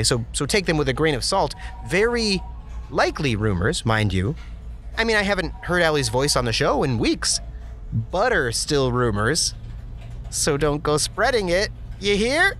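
A man voices a talkative, pun-loving office worker in an audio drama. His voice sits a little high and jumps around a lot as he jokes and chuckles at his own wordplay. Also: a bit bright. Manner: joking, chatty, laughs at own puns. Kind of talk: audio drama interview monologue.

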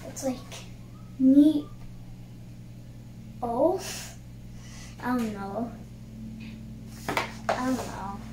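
A young girl reading a picture book aloud informally, halting over words and adding chatty asides. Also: a little high. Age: child. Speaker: reader and host of a read-aloud video. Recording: noisy, roomy home recording.

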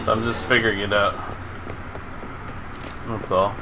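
A man's voice: lowish voice, little pitch movement, a little slow, over music. A middle-aged man giving casual live commentary as he plays a video game, speaking into a close mic indoors. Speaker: live streamer. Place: indoor room at a gaming setup. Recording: noisy with game sound behind. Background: video game vehicle and gunfire sounds.

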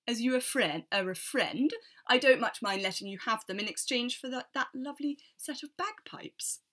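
A woman voicing a hearty man who boasts about his warm gloves. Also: a little high, animated, normal speed.

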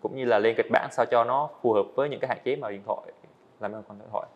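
A man's voice: medium pitch, quick pace, dull audio. A young man, a guest animator, earnestly explaining his work step by step in a casual interview on a studio set.

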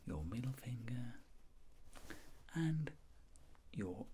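A British man in middle age whispers slowly, as a doctor in an ASMR roleplay. His delivery is soft and breathy, with gentle, lilting movement in pitch. There is faint background noise. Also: animated. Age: middle-aged. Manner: gentle, polite, measured instructions. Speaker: ASMR creator role-playing a clinic doctor.

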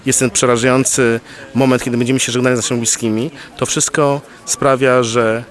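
A man's voice: medium pitch, level tone, fairly quick. Thoughtful man in his forties. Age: 40s. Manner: thoughtful, searching for words. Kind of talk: personal reflection.

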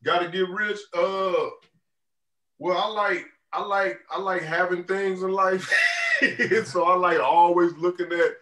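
Laid-back man with a deep voice on a video call. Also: fairly even intonation, measured pace, pushed and bright. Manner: laid-back, loose, lots of "you know what I'm saying". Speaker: rapper guest. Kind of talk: casual interview answers. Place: indoor room on a video call. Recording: roomy video call audio, a bit distant.

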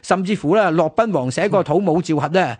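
A man's voice: on the high side, animated, a little fast, a little mellow, breathy edge, no echo. A man with a Hong Kong Cantonese accent telling history conversationally as a radio host, often posing rhetorical questions.

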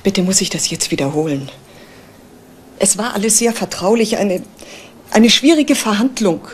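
A woman's voice: mid-range voice, animated, moderate pace, a little hiss or hum, natural voice. A woman acting the part of a professor's anxious, defensive daughter in a German crime radio drama, hesitant and pleading. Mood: defensive, strained.

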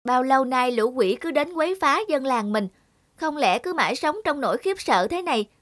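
A woman voicing a brave, determined young boy hero in an animated folk tale, speaking in firm, earnest declarations. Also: high-pitched, normal speed. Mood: earnest, resolute.

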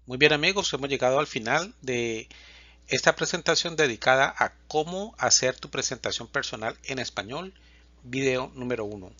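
A man teaching a Spanish lesson, reading example questions and answers slowly and distinctly. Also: mid-range voice, expressive, pushed and bright, dry recording, muffled recording. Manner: reads questions and answers slowly and distinctly, pausing between lines. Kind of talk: slow, clear language lesson reading example dialogues.

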